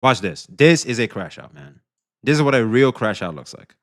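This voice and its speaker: A male streamer giving animated, profane commentary, speaking straight to his chat. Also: mid-range voice, normal speed.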